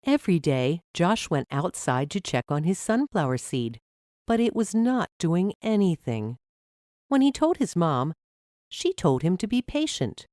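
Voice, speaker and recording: A woman's voice: lowish voice, sing-song, a little slow, a little mellow, dry recording, clean studio sound. A woman narrating a scripted story for children.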